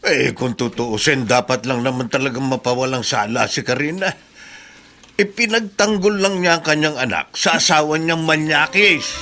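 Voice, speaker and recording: A man's voice: on the high side, normal speed, bright, forceful tone. A man playing a jovial, cheeky uncle in a Tagalog radio drama, with an exaggerated, chuckling delivery. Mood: concerned. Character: jovial, cheeky uncle who borrows money.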